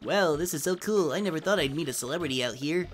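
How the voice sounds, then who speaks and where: A man's voice: fairly high pitch, expressive, quick pace, lightly acted. A man voicing an eager, friendly, hopeful little creature prospector from a cartoon game.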